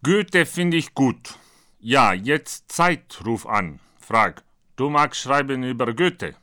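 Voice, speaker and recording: A man voicing a blunt, naive Brazilian who talks in choppy, deadpan broken German, performed as a comic monologue. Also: mid-range voice, slow pace. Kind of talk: comic monologue read from his own book.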